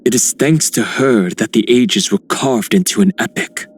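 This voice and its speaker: A man's voice: lowish voice, moderate pace, breathy edge. An actor playing a noble, earnest heroic man in a fantasy video game, with formal phrasing. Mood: solemn, hopeful. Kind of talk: scripted game character voice lines.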